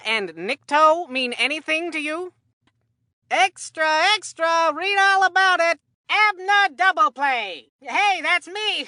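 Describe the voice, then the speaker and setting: Corny, food-mad kid sports commentator for a video game; unhurried, hammy announcer delivery, very dry sound. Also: very high voice, bright, forceful tone, no room sound, heavily acted. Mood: excited hawking.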